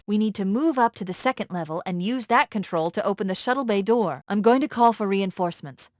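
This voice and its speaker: An actress playing a brisk, sharp-witted female military artificial intelligence in a video game, speaking in a crisp, purposeful and articulate way. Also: medium pitch, no room sound, clean studio sound, natural voice. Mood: focused, businesslike. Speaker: AI companion giving tactical guidance. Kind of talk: scripted video game voice acting.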